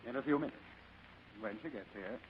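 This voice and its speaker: An actor playing a courtly, scheming middle-aged criminal mastermind in 1940s radio style, speaking in florid, formally polite phrases. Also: male voice, medium pitch, fairly quick, slight background noise, close to an everyday voice. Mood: pompous, measured. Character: courtly, scheming older male criminal mastermind, the Fat Man. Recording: muffled vintage radio recording.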